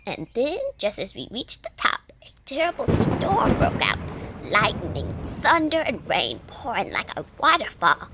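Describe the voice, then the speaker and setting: Woman playing kindly old lady, lively high voice. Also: a little slow, very noisy, full character voice. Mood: nostalgic, warm. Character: kindly elderly village woman, devout and nostalgic neighbor. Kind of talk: children's audio drama acting.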